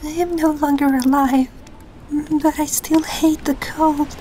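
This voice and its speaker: Woman acting, arrogant young female fiend, high mellow voice, greedy, imperious, childish, anime comic dub. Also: level tone, measured pace, slight background noise. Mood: sulky.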